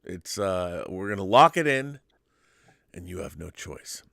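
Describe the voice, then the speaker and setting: A man's voice: low voice, lively intonation, a little slow, vocal fry, fairly bright tone, dry recording. Man chatting, close dry sound. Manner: persuasive, joking, informal with swearing. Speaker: live stream host. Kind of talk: casual late-night chat.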